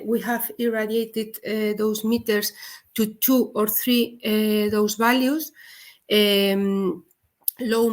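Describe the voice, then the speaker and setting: A woman with a Spanish accent giving a technical webinar presentation, speaking haltingly with frequent pauses. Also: fairly high pitch. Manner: hesitant, halting non-native delivery with frequent pauses. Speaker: presenter, head of a dosimetry service.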